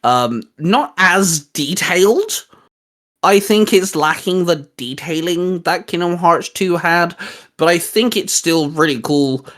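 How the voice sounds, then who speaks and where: A man with a slightly high-pitched voice hosts a casual geeky discussion. He rambles with enthusiasm, often pausing and restarting as he works out his opinion. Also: fairly bright tone. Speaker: host. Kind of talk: casual geeky chat rating video game forms.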